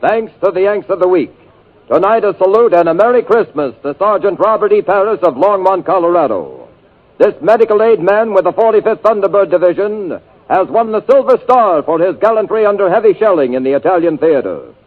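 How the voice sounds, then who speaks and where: A man's voice: high voice, expressive, brisk, narrow, tinny audio. A man reading a formal, earnest tribute on a 1940s radio broadcast. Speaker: announcer.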